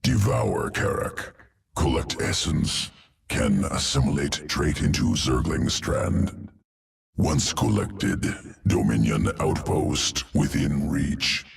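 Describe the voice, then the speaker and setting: A man's voice: lively intonation, slow, heavily acted. An actor playing a detached male alien creature who engineers flesh, speaking in terse broken phrases. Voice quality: gravelly, very deep. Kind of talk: video game cutscene.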